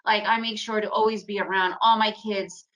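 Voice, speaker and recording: A woman's voice: medium pitch, steady tone, a little fast, a bit bright, poor audio. A woman in her forties, raised in the United States and a native Russian speaker, presenting a trading webinar in a chatty storytelling way. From Ukraine, raised in the United States. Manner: chatty, storytelling, addresses audience with "right?". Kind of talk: casual presentation.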